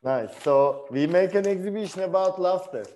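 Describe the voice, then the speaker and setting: Bright-voiced male seminar instructor. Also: high-pitched, animated, normal speed, a little hiss or hum. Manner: encouraging, inquisitive. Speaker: instructor leading an online seminar. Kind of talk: casual class discussion. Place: indoor room, on a video call.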